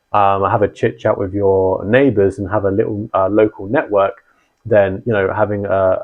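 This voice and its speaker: A man hosting a channel, explaining gear in a relaxed conversational way full of ums and restarts. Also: on the low side, narrow, tinny audio. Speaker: channel host and founder of a radio community. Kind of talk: casual explainer talk.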